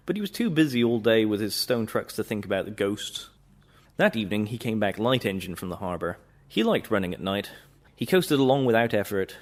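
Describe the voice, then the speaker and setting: A man narrating a children's railway story in a steady, expressive read-aloud style. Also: on the low side.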